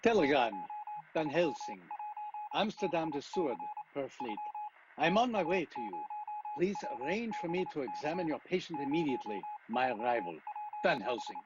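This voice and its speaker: Consulting doctor, radio play, female actor: deep for a woman, lively swings, brisk authority, noisy sound. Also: muffled recording. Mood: brisk, urgent.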